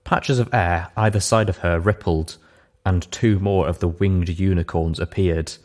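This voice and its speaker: Casting: male audiobook narrator, very low-pitched, scripted first-person storytelling. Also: fairly even intonation, a little slow, a little mellow.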